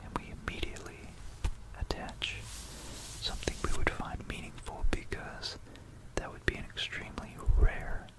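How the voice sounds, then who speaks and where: An ASMR presenter narrating history in a hushed, unhurried whisper, repeating words and pausing mid-phrase, close to a stereo microphone. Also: low-quality recording. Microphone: close stereo microphone for binaural ASMR.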